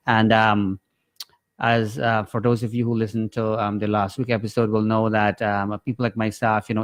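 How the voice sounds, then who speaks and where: A man's voice: fairly low pitch, dry recording. A man from Guyana hosting a radio talk show, chatting in a friendly, rambling way with many fillers. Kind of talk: casual chat. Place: radio studio.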